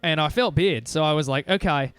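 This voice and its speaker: A man's voice: a little high, a little fast, fairly bright tone, smooth, dry recording, faint music behind, close to an everyday voice. A man putting on an Australian accent for casual, playful, rambling livestream banter. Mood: rambling, apologetic. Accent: put-on Australian.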